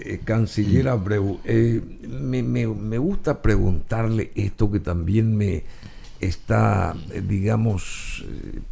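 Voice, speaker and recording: A man's voice: fairly low pitch, creaky, darkish tone, a little hiss or hum. A man hosting an interview, asking casual questions and giving short affirmations. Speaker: interview host.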